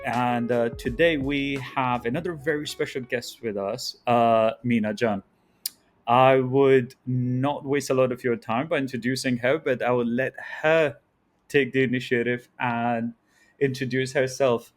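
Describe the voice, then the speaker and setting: A man's voice: medium pitch, steady tone, measured pace. Friendly male podcast host. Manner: friendly, frequent affirming murmurs. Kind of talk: conversational interview hosting.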